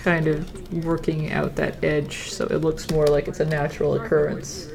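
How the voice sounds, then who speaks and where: A woman's voice: low-pitched, level tone, moderate pace, crowd noise. A young woman talking casually through a digital painting live stream, thinking aloud as she works.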